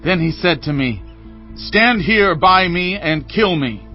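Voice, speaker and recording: An earnest, high-pitched young messenger. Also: male voice, animated, slow pace, dull audio, soft background music, exaggerated voice. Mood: urgent, earnest. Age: young adult. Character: young Amalekite messenger reporting a king's death. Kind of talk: dramatized Bible audio acting.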